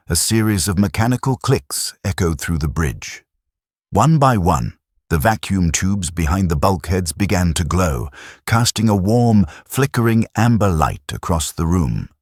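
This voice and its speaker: A man narrating a science fiction audiobook with dramatic, expressive storytelling. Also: low voice, no echo, studio quality.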